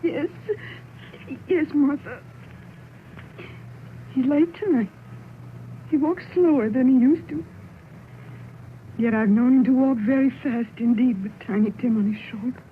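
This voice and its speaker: A woman's voice: high-pitched, measured pace, long pauses. A tearful grieving mother. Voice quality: soft, tearful delivery. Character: grieving mother of a poor family. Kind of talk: 1930s radio drama acting. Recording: tinny old radio broadcast with faint hiss.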